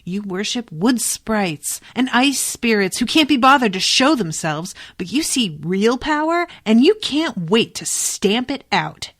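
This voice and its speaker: A woman's voice: medium pitch, lively intonation, normal speed, a bit bright, lightly acted. A woman voicing a fiery, defiant young woman from a novel, impassioned, accusatory and scornful. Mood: scornful rage. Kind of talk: audiobook-style novel reading.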